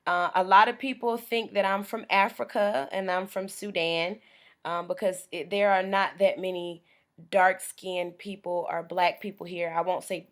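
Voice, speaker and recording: A woman's voice: lowish voice, fairly even intonation, moderate pace. A young American woman, chatting casually into a webcam in a small room, rambling with frequent ums. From United States. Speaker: vlogger. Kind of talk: casual vlog monologue. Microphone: webcam at close range.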